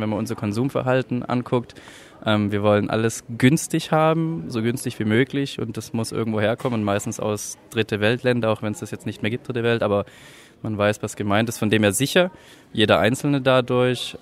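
A man answering a vox pop question hesitantly, with many fillers. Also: lowish voice.

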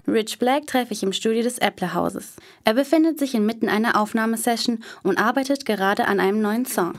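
A woman's voice: a little high, brisk. A woman working as a radio reporter, reading the scripted narration of a feature report.

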